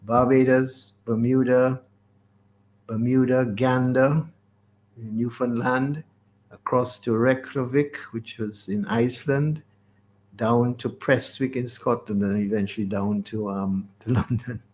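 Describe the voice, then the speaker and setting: An elderly man is the guest in an unscripted radio interview. He tells his memories in a halting, wandering way, often trailing off and picking the thread up again. His voice sits at an average male pitch. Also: fairly even intonation, a little mellow, low-quality recording. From Caribbean. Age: 80s.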